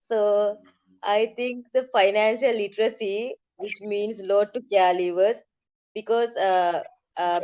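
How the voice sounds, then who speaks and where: A woman's voice: mid-range voice, a little mellow, dry recording, lo-fi sound. A woman in her thirties with a Sri Lankan accent, speaking conversationally as an advocate on a panel. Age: 30s.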